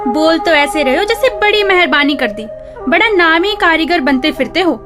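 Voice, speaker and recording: High-pitched nagging wife. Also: female voice, lively intonation, normal speed. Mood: scolding. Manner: scolding, complaining, theatrical. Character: nagging, sharp-tongued village wife of a poor blind labourer. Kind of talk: Hindi radio drama acting. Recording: music bed behind the voice.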